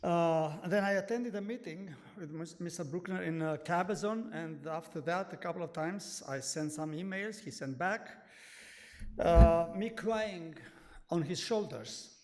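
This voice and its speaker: A man's voice: fairly high pitch, measured pace, darkish tone. A man with a Romanian accent giving an earnest, self-deprecating public comment at a county meeting.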